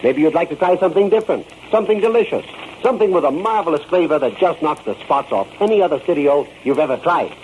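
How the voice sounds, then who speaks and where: A man's voice: fairly high pitch, expressive, fast talker, narrow, tinny audio. A man announcing a folksy, persuasive 1930s radio advertisement aimed at children. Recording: old radio recording, faint noise.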